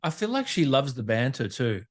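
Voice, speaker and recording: A man's voice: mid-range voice, very animated, normal speed. A man hosting a podcast, asking questions in a casual, conversational interview style.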